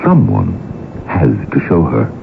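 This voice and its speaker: A low, creaky-voiced proud painter, jealous and lovelorn. His delivery swings widely in pitch, in the manner of an old radio play. The recording is noisy and narrow. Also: huge pitch swings, measured pace, exaggerated voice. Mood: bitter, indignant. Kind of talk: 1980s radio drama acting.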